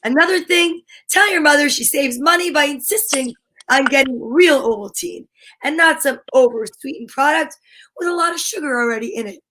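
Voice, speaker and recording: High-voiced woman as a radio announcer. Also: moderate pace, sharp tone, low-quality recording. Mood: eager selling. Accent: put-on French, then Jersey. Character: old-time radio announcer pitching a children's drink. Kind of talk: 1930s radio show re-enactment.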